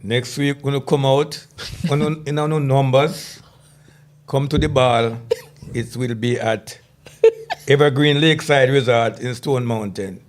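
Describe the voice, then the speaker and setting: A man, a guest on a casual podcast, relaxed and laughing easily. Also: mid-range voice, takes it slowly. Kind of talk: casual chat.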